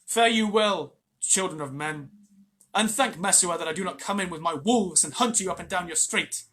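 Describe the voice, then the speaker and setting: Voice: medium pitch, normal speed, fairly bright tone, voice pushed up, natural voice. A storyteller voicing a proud jungle boy who gives firm, scornful commands.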